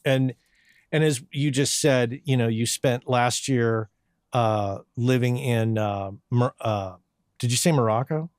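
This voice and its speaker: A man from southern New Mexico hosts a podcast interview at a medium pitch. His voice is recorded dry with no room sound, and he talks in a friendly, loose way, often restarting his phrases. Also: slow, no room sound. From southern New Mexico, USA. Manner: friendly, repeats words as he talks. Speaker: podcast host. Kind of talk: casual interview chat.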